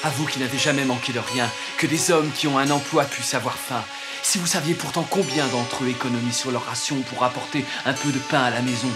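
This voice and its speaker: An actor playing an indignant, hungry soldier in an earnest, theatrical manner in a French-dubbed historical cartoon. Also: male voice, mid-range voice, steady tone, fast, close to an everyday voice. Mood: bitter, earnest. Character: indignant working soldier pleading about hunger and poverty. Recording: music behind the voice.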